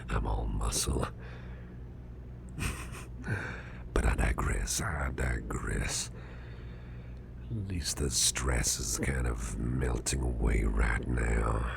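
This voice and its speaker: A man playing a big, muscular but softened grumpy boss in an ASMR roleplay, speaking in a gravelly, breathy near-whisper with a gentle, slightly formal manner. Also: very deep voice, background noise, full character voice. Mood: relaxed, grateful, then calm, thoughtful. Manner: slow, murmuring, gently reassuring, slightly formal.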